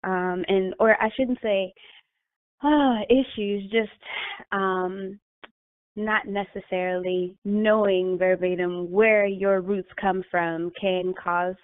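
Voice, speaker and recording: A woman's voice: medium pitch, steady tone, slow. A woman guest, a life coach, talking through her thoughts in a casual interview, with many fillers and restarts. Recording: tinny phone-line audio.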